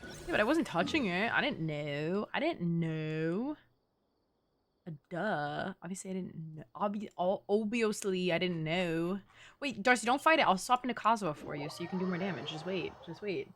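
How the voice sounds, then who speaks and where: A woman streamer giving casual, excitable, exclamatory live gaming commentary, swearing freely. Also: lowish voice, normal speed. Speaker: video game streamer.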